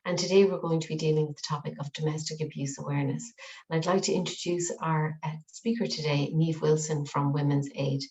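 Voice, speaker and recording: A woman's voice: deep voice, steady tone, brisk, darkish tone. A woman hosting a webinar, friendly, with a few ums and restarts. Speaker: webinar host. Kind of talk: webinar introduction. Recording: dull lo-fi call audio.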